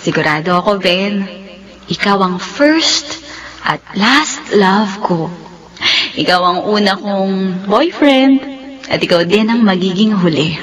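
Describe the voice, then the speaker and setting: A woman's voice: mid-range voice, animated, takes it slowly. Radio romance lead: devoted, vulnerable young woman, mid-range voice, tender and emotional delivery. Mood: loving. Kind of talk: radio drama acting in Filipino love story.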